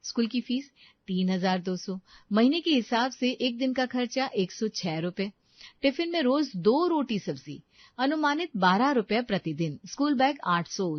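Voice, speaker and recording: A female storyteller reads a scripted drama in a medium-pitched voice. She delivers it in short, deliberate phrases for dramatic weight. The sound is very dry and a little dull. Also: no room sound.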